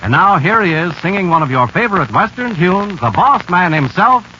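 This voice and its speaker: A man's voice: high-pitched, big pitch swings, moderate pace, pushed and bright, slight background noise. An American man announcing a 1950s radio show in a hearty, declamatory way. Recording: muffled 1950s radio recording with music.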